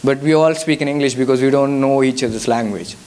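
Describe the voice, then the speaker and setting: A man's voice: mid-range voice, fast talker, darkish tone. Young Indian man, Telugu-accented English. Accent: Indian, Telugu-speaking. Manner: hesitant, many fillers and restarts. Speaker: guest speaker. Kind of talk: answering audience questions. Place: church youth service hall.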